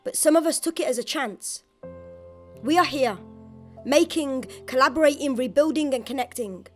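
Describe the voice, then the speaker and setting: Woman from birmingham doing spoken word, high voice, rhythmic and declamatory, soft music under. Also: normal speed. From Birmingham, England. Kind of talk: spoken word poetry voice-over.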